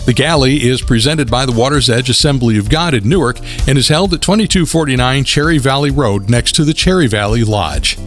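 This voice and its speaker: A man announcing community events on the radio, reading a script in an upbeat, clear way. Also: medium pitch, expressive, fairly quick, few pauses, faint music behind.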